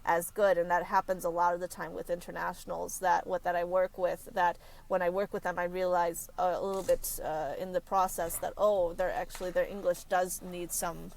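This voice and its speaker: A woman career consultant answers interview questions in a halting, conversational way. Her voice sits a little low, and she restarts her sentences often as she searches for words. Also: little pitch movement, gravelly. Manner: halting, frequent self-corrections. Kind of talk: casual podcast interview.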